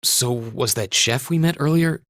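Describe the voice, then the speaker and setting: An actor playing an earnest, kind young student in a video game, talking in a casual, considerate way. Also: male voice, medium pitch, measured pace, a bit bright, no echo, clean studio sound, natural voice. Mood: sympathetic, gentle. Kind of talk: English-language game voice acting.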